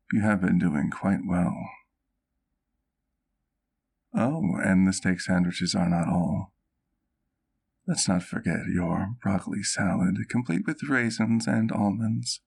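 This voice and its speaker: Slow, deep, creaky gentleman vampire, acted by a man. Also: heavily acted. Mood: warm, playful. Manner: genteel, formal diction, affectionate. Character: doting, courteous male vampire doctor, gently teasing. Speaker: ASMR roleplay performer. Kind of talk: intimate ASMR roleplay acting.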